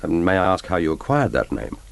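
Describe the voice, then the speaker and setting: An actor playing a composed British secret agent and naval commander with a cool, terse, formal manner in a radio play. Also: male voice, sits low, sing-song, a little fast, vocal fry, heavily acted. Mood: composed, probing. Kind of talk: radio drama acting.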